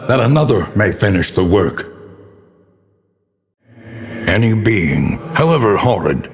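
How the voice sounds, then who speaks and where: An actor playing a grave, solemn narrator of a gothic horror game, speaking in ornate, theatrical prose. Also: male voice, on the low side, sing-song, takes it slowly, heavily acted. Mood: stern encouragement. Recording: long reverb tail on the voice.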